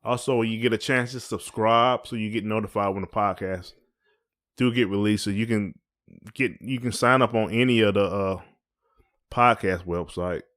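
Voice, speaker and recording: Low-voiced man, casual podcaster. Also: steady tone, normal speed, a bit bright. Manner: folksy, rambling, repeats phrases. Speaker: podcast co-host. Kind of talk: casual conversational podcast chat.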